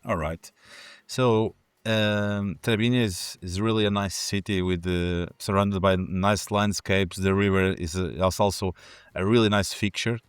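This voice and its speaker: A man's voice: low voice, fairly even intonation, unhurried, smooth, no echo. A man hosting an interview, asking questions hesitantly and rephrasing them as he goes. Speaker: host.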